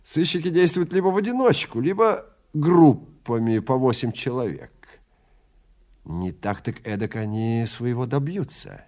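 A man playing a suave, menacing film director who speaks in an unhurried, theatrical, insinuating way with pauses between phrases. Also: mid-range voice, lively intonation, a little mellow, lightly acted. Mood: smooth, veiled menace. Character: suave, menacing male film director, calmly toying with his prey.

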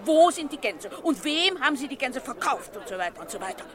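Irritated market trader, high, swooping delivery, vintage radio play. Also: female voice, big pitch swings, fairly quick, a faint crowd. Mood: indignant. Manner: complaining, exasperated. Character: indignant female market trader, fed up. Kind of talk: 1950s radio drama acting.

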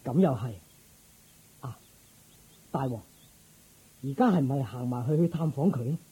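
An actor playing a respectful, attentive royal attendant in a Cantonese radio drama, formal and deferential. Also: male voice, medium pitch, animated, measured pace, warm tone, breathy edge. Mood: hesitant, deferential.

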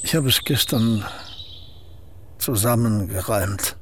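An actor playing an aging male painter and poet in a radio play, speaking in a husky voice with a dry, reserved and curt manner. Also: lowish voice, slow, a little hiss or hum. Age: older.

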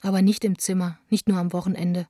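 Actress as young woman, defiant theatre director, mellow voice, flat intonation, confiding letter monologue, radio drama. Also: on the low side, a little fast, no room sound, studio quality, lightly acted. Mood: weary, yearning. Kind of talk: radio play, letters read as intimate monologue.

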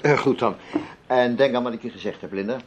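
A man's voice: medium pitch, fairly quick, slight background noise, lightly acted. An actor in a Dutch radio play playing a paternal, sensible male friend, soothing and a little patronising. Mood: soothing, reassuring.

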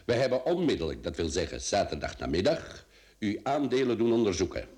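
Deep-voiced, formal bank director. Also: male voice, big pitch swings, darkish tone. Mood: grave, insistent. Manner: formal, deliberate, polite but insistent. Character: formal, insistent male bank director bearing bad news. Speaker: actor in a radio play. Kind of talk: Flemish radio drama acting.

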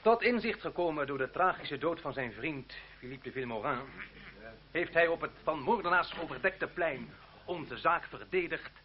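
A man acts a florid French society chairman in a radio play. His voice is on the high side and swoops expressively through formal, flattering oratory, over a murmuring crowd. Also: normal speed, lightly acted. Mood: earnest, rousing. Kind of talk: radio drama speech to an assembly. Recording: dull lo-fi radio recording, crowd.

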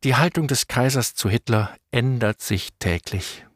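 A man's voice: mid-range voice, moderate pace, no echo, natural voice. A man playing a dry, sober and disapproving court aide who narrates a radio play in polite, understated diary style.

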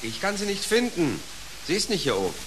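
High-pitched household member. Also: male voice, wildly swooping pitch, brisk, a bit bright, a little hiss or hum, exaggerated voice, rough recording. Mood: calling back. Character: male household member answering from upstairs. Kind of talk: German radio play acting.

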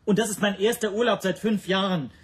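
A language teacher under interrogation played by a man, with a slightly high male voice. He answers precisely and defensively, full of affronted dignity, in a radio drama style. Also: fairly even intonation, brisk, close to an everyday voice. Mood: indignant. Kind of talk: radio play acting.

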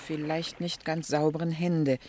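A woman's voice: low voice, flat delivery, unhurried, warm tone. An actress playing a worldly, ironic middle-aged society lady in a 1960s German radio drama, teasing and questioning expressively. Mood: gossipy, teasing. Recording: faintly noisy 1960 radio recording.

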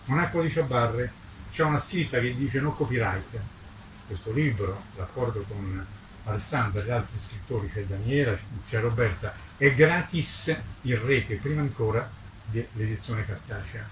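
An older man, over sixty, a publisher speaking in an impassioned, declamatory way at a public presentation, sometimes halting and repeating himself. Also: medium pitch. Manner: emphatic, declamatory, with halting repetitions. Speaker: book publisher. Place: book presentation before an audience, recorded from a distance. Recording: roomy, distant mic with clear background noise.

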